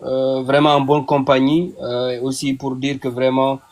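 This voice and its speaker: A man's voice: medium pitch, completely flat delivery, a little slow, darkish tone. A man hosting an online panel debate, putting audience questions to his guest with frequent hesitations. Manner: hesitant, many fillers. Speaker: panel host, moderator.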